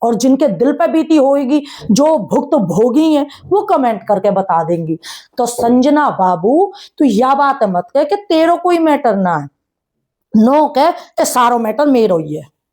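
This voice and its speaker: A woman with a distinctly high voice and a rural North Indian Haryanvi-Braj accent. She talks like a gossip vlogger, casual and animated, teasing and sarcastic. She often throws out rhetorical questions as if talking straight to the people she is discussing. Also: unhurried. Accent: rural North Indian Haryanvi-Braj Hindi. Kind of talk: casual chatty commentary on a family controversy.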